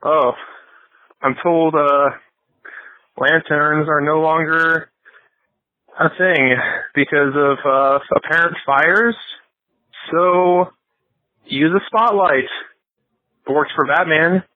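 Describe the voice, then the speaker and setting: Deadpan man with band-limited radio sound. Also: a little high, slow, long pauses, narrow, tinny audio. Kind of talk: deadpan comic cookie sales announcement.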